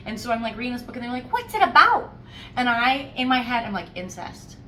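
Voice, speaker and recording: A woman giving a casual, chatty book review, animated and playfully wry as she tells it. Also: on the high side, rapid-fire. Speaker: host of a book discussion podcast. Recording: roomy echo, distant mic, faint noise.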